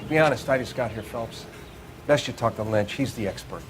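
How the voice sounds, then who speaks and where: A man's voice: mid-range voice, fairly quick, noisy background, natural voice. An actor playing a plain-spoken male official at a crime scene in a 1940s detective video game. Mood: grim.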